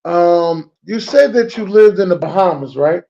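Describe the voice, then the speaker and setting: High, gravelly man with slangy talk. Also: lively intonation, moderate pace, mellow, lo-fi sound. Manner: casual, slangy, repeats himself. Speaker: host. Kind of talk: casual interview chat.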